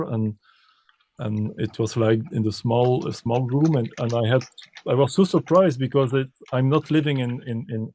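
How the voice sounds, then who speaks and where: Halting Belgian pianist, mellow voice. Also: male voice, medium pitch. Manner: hesitant, many repeated words and restarts. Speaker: composer and pianist, interview guest. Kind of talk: casual interview answers.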